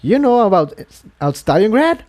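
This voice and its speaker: An assertive female pony adventurer from a fantasy storybook, as a male reader puts her on. She is blunt and eager, pitched far up from a man's middle range, and recorded dry. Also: very animated, moderate pace, darkish tone, no room sound. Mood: eager, insistent. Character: assertive, quick-to-interrupt female pony traveler. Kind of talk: storybook character voice.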